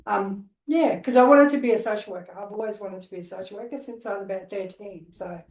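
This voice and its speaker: A middle-aged woman with an Australian accent talks through her story in a loose, chatty way, full of "um"s and "like"s. Her voice sits at a middle pitch. The recording is roomy, with a clear echo around her. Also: fast, darkish tone, far from the mic. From Queensland, Australia. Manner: chatty, rambling with fillers. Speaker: guest, social worker. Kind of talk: casual storytelling interview.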